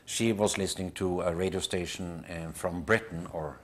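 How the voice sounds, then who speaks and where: A man in his fifties or sixties with a Norwegian accent, answering casually in an interview in halting, simple phrases. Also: low-pitched. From Norway. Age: 50s to 60s. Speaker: band member being interviewed. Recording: clear background ambient noise.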